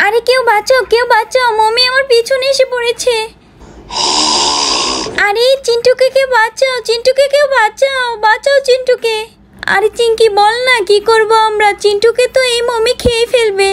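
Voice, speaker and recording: Lady doing a tiny scared village kid doll, super high voice, urgent and exclaiming, kids cartoon story. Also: steady tone, normal speed, a little hiss or hum. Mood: panicked, pleading. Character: frightened young village child doll screaming for rescue from a mummy. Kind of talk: children's doll story voice acting.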